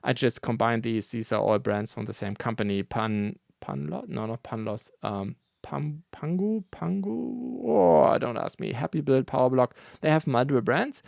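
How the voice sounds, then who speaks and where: A man whose first language is not English, hosting a casual news roundup in a relaxed, rambling way, with many fillers and self-corrections. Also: mid-range voice, moderate pace, gravelly, few pauses, dry recording, studio quality. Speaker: host of a brick building news show.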